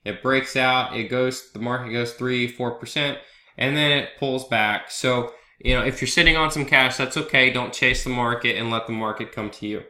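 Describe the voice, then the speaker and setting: Bright-voiced man with a head cold. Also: medium pitch, steady tone, moderate pace, echoey room. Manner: conversational, loose, with fillers and asides like "right?". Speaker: trader hosting a market analysis video blog. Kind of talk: casual chart walkthrough over a screen recording.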